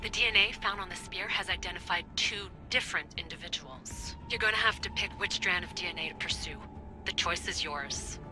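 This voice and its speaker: A woman's voice: lowish voice, fairly quick, lightly acted. A woman playing a friendly, wry technical assistant, conversational and lightly teasing, in a naturalistic video game cutscene. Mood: helpful, sceptical. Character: friendly, wry female tech assistant, loyal but doubtful. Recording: clear music behind voice.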